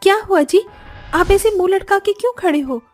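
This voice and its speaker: A woman's voice: very high-pitched, normal speed, darkish tone, faint music behind. A woman playing a greedy, scolding village wife in a moral story, full of exclamations. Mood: nagging.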